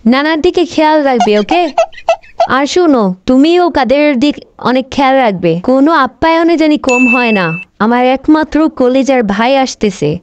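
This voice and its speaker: A woman's voice: high-pitched, expressive, moderate pace, highs cut off. A woman voicing a bossy, melodramatic elderly grandmother in a comic cartoon, doting on her brother and nagging her husband. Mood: fussing. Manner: scolding, melodramatic, gushing over her brother. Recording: noisy amateur cartoon dub with clicks.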